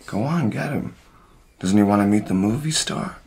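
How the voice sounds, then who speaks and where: An actor playing an ailing, bitter, lecherous former movie star in an audio drama, slurring and sardonic. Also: male voice, fairly low pitch, animated, normal speed, gravelly, darkish tone, a little hiss or hum. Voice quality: slurred speech. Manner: sardonic, taunting.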